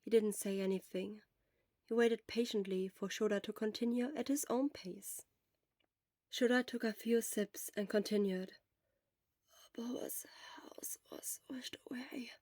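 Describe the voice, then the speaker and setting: A woman's voice: medium pitch, fairly even intonation, normal speed, dark tone, no room sound, studio quality. A woman reading scripted fiction aloud as the narrator of an audio story. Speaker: podfic narrator.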